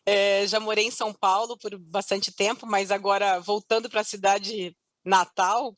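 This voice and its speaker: A woman's voice: medium pitch, little pitch movement, measured pace, no echo. A woman from Curitiba speaks in her own strong Curitiba accent on an online business panel. Her delivery is chatty and upbeat, and she laughs easily. The sound is close and dry, with a mid-range voice. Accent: Curitiba Portuguese. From Curitiba, Brazil. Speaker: panelist, customer success head. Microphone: video call.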